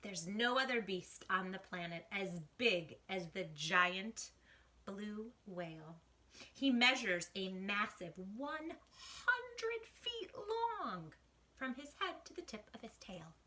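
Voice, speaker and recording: A woman hosting storytime, reading a picture book aloud to children with animated, dramatic emphasis and hushed asides. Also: medium pitch, slow.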